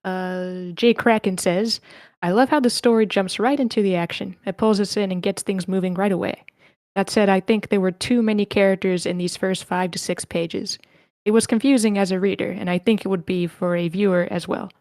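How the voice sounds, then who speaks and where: A woman's voice: medium pitch, fairly even intonation, moderate pace, a little mellow, dry recording. A woman casually moderating an online script read, calling on people and reading out comments, sometimes murmuring to herself. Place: indoors on an online meeting call.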